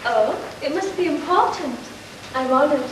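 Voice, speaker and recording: A woman's voice: high-pitched, lively intonation, moderate pace. A woman playing a proud, honest young shoemaker's daughter in an amateur pantomime, recorded in an echoey hall. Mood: polite, puzzled. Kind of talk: amateur pantomime stage acting. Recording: echoey hall, distant mic, clear noise.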